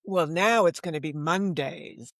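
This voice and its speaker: A woman's voice: fairly low pitch, a little slow, no echo, studio quality. An American woman in her seventies chatting in a casual interview, anecdotal and emphatic in how she talks. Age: 70s. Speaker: podcast guest, novelist.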